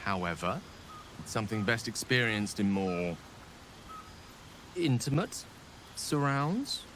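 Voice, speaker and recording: A man's voice: mid-range voice, a bit bright, slight background noise. A man playing a suave, courtly wizard in a video game, speaking formally and eloquently with a seductive manner. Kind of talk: video game character acting.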